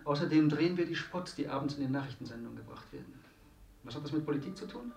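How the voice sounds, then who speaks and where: An actor playing a self-assured, ambitious husband in a radio drama, explaining things emphatically and with self-satisfaction. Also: male voice, fairly high pitch, quick pace, vocal fry, darkish tone, reverberant, recorded from a distance, faint music behind. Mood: explaining, pleased.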